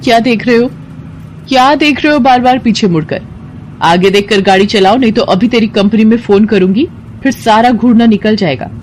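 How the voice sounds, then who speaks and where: A woman acts out a troubled young college woman in a dramatized story. She is earnest and sharp-tongued, with emotive delivery and clear noise behind her. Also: fairly high pitch, normal speed, voice pushed up, close to an everyday voice, low-quality recording. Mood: angry.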